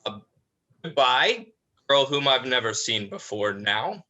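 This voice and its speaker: An actor playing a put-upon, fussy eldest brother who mutters sardonically in a dramatic script reading. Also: male voice, mid-range voice, sing-song, takes it slowly, pushed and bright, heavily acted. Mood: harried, dry.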